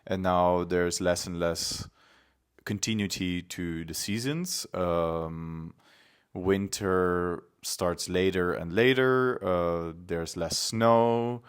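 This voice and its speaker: A young man from Italy, talking in a relaxed, thoughtful way with many fillers as a podcast guest. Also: sits low, takes it slowly, smooth. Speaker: podcast guest, climate activist. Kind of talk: casual conversation.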